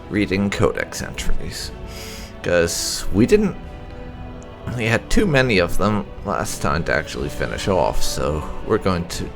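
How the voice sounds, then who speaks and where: Male gaming narrator, deep voice with lively swings in intonation, reading scripted lore over music. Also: vocal fry. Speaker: gaming channel host.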